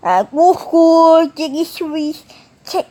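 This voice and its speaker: High-pitched, slow, halting female voice. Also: animated, dark tone, rough recording.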